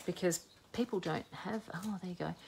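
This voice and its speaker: A woman's voice: fairly low pitch, normal speed, creaky, darkish tone, breathy edge. A woman in her forties chatting and joking as she demonstrates a craft project live. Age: 40s. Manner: chatty, jokey, thinks aloud while working. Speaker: craft video host. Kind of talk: live crafting demo chat.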